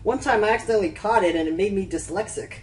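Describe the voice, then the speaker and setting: A woman acting as an excitable, scatterbrained prankster pony, flighty and playful, in a cartoonish radio play. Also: medium pitch, fast, recorded from a distance, natural voice. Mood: offhand.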